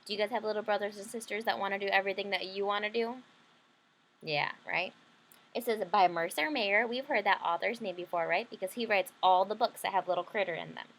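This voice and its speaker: A woman's voice: mid-range voice, quick pace, fairly bright tone, rough recording. A woman leading an interactive read-aloud lesson for children, explaining simply and often checking in with questions. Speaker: teacher reading a picture book to children.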